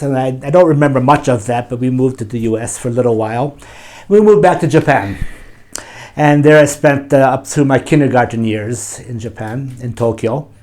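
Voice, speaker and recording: A man who directs a church's overseas mission, calmly answering interview questions in a measured way with some hesitations. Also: medium pitch.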